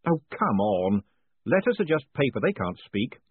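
A man's voice: mid-range voice, big pitch swings, normal speed, slightly rough, no echo. A man voicing a shaken, protesting con-man in a fantasy audiobook, urgent, faltering and exasperated. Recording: muffled phone-like audio.

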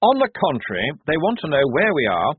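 A man voicing a pompous, fussy young army lieutenant with a prim, self-important, high-strung manner. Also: huge pitch swings, fairly quick, husky, full character voice. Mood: fussy, commanding. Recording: tinny phone-like dry sound.

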